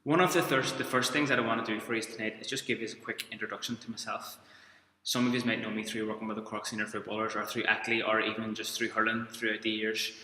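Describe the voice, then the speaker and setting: Belfast guy in his 30s, coaching webinar, bright edgy voice, keeps restarting his sentences. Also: on the low side, flat delivery, quick pace. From Belfast, Northern Ireland. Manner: informal, hesitant, frequent restarts and fillers. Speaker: strength and conditioning coach. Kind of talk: webinar presentation. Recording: echoey room with long reverb.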